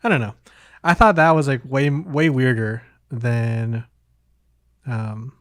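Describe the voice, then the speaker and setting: A man's voice: medium pitch, takes it slowly, no echo, studio quality. A man hosting a podcast in casual conversation, hesitant and meandering, often trailing off between thoughts. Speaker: podcast host. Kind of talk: casual chat.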